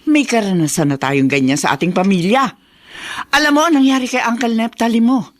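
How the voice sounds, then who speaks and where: A woman's voice: a little high, a little fast, a little hiss or hum, lightly acted. An actress in a radio drama playing a bitter, meddling older mother-in-law who speaks with scornful, insinuating melodrama. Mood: urgent, accusing.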